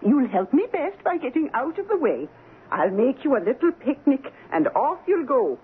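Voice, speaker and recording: An actress playing a brisk, no-nonsense Scottish village housekeeper in a 1970s radio drama, bossy and blunt. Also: female voice, high-pitched, big pitch swings. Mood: cheery, bossy. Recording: narrowband old radio broadcast, faint noise.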